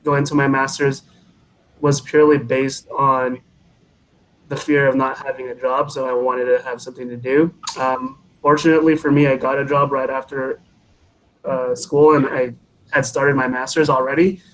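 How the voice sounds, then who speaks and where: Flat-toned young man giving career advice. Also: medium pitch, low-quality recording. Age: 20s. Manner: measured, advice-giving, with frequent um pauses. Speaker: engineering panelist. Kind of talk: panel answers to students. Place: college presentation event.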